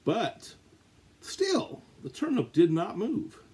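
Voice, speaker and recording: A man with a husky voice reading a children's storybook aloud, with dramatic, drawn-out emphasis. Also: high-pitched, huge pitch swings, dark tone. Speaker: story reader. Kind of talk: animated read-aloud of a children's picture book.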